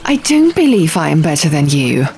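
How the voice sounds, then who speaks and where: A woman's voice: sits low, very animated, moderate pace, mellow. A woman playing a troubled, urgent young woman in an audiobook, speaking in a terse, strained way. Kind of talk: audiobook character dialogue.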